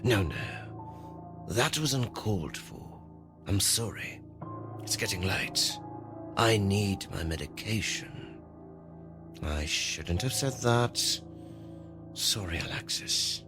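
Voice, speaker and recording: A man's voice: fairly low pitch, animated, slow, long pauses, over music. A man playing a curt, secretive maintenance worker trapped in an elevator, speaking in a terse, guarded way in an audio drama. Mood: apologetic. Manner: terse, weighted, guarded delivery.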